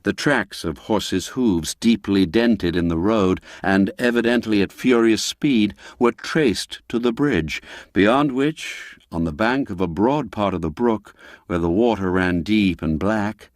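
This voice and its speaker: A man narrating an audiobook as dramatic storytelling, with measured, deliberate, suspenseful phrasing. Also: on the low side, dry recording, studio quality. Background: music under the voice.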